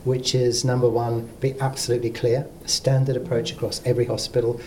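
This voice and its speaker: Warm-toned man listing points. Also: mid-range voice, flat delivery, fairly quick, a little hiss or hum. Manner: organised, counts points off in order. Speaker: professor and medical college president. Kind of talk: panel discussion.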